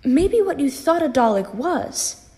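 A woman playing a composed phantom in a sci-fi audio drama, reasoning in a measured, pointed and persuasive way. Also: sits high, big pitch swings, warm tone. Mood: earnest, challenging.

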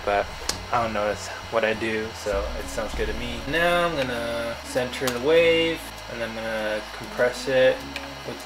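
Laid-back young man with a bassy voice. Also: low-quality recording. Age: young adult. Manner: laid-back, slangy, self-deprecating. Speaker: home music producer. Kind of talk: casual screen tutorial. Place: small room at home.

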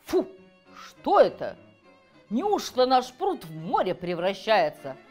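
A woman's voice: medium pitch, wildly swooping pitch, slow, darkish tone, faint music behind. Woman voicing a grumbling old carp grandpa. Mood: grumpy, indignant. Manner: blustering, grumbling. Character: grumpy old male carp, gruff but kindly.

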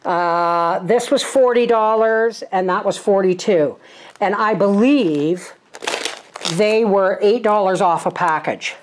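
A woman's voice: mid-range voice, slow, a little hiss or hum. An older woman, giving a casual, plain-spoken, folksy vlog narration in her home kitchen. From Canada. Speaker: homesteading vlogger and host.

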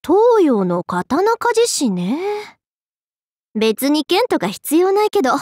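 An actress playing a proud, easily miffed young goddess in a game, with a haughty, scolding manner. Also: female voice, high-pitched, huge pitch swings, slow pace, a little mellow, no room sound, studio quality, heavily acted. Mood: haughty, coy. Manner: proud, feigned indifference tipping into scolding. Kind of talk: Japanese game character dialogue.

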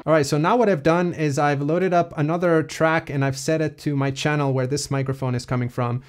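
Man teaching, slightly high voice, music behind. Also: fairly even intonation, a little fast. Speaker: music production tutorial presenter. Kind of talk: instructional software walkthrough.

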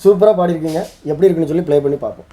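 A man's voice: fairly high pitch, very fast. A man with an Indian Tamil accent hosting and explaining in a vlog style. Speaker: host.

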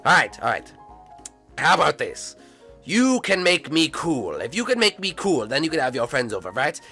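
A man voicing a vain, grumpy villain father who mumbles, stammers and trails off in a flat tone. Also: a little high, moderate pace, breathy edge, faint music behind, full character voice. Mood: boastful. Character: grumpy, vain villain dad, tired and flustered.

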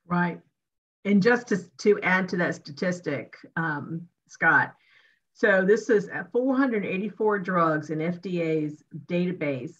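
A middle-aged woman speaks on a video-call panel in a bright, sharp voice. She is upbeat, cites figures and laughs quickly. Her audio comes through dull and lo-fi. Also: lowish voice, lively intonation. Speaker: panel guest. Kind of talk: casual panel discussion. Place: home room with windows and bookshelf. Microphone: video call webcam.

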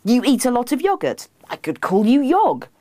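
A woman's voice: a little high, big pitch swings, normal speed, mellow, no echo, pitched above the performer's own voice. A woman voicing a sleepy, exasperated husband who grunts and answers reluctantly in a comic book reading. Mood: despairing. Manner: grunting, despairing, reluctant replies.

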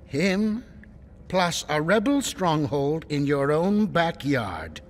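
A man playing a sly, insolent, greedy lowlife informant in a space-opera audio drama. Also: big pitch swings, slow pace, a little hiss or hum. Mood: insolent, gloating.

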